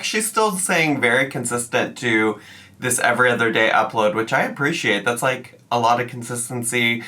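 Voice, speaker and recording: A man chats through a reaction video in a bright, forceful voice. It is a little raspy while he gets over an illness. He keeps dropping sarcastic asides between comments. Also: on the high side. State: slightly raspy, recovering from illness. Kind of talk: casual commentary.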